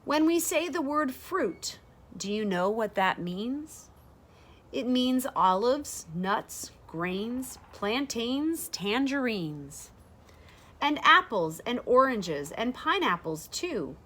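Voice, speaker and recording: Expressive woman reading a children's book, lively pitch. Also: takes it slowly. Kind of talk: read-aloud of a rhyming children's picture book. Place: small sunroom with large windows, indoors.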